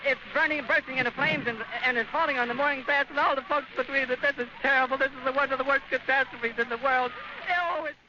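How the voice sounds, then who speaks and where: A very high-voiced man reports as an eyewitness on 1930s radio, distraught and frantic. The sound is dull and noisy, an old scratchy broadcast recording. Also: fairly even intonation, fast talker.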